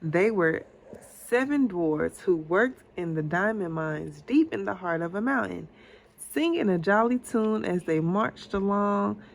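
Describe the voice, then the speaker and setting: A mother reading a storybook aloud to her child in a patient, deliberate, encouraging way. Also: mid-range voice, animated, vocal fry.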